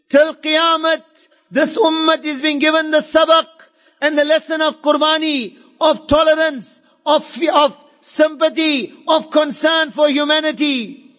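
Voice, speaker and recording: A man's voice: very high-pitched, pushed and bright. A man delivering an impassioned Islamic sermon, fervent and emphatic, repeating phrases for effect and mixing Arabic and Urdu phrases into English. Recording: long reverberant hall echo.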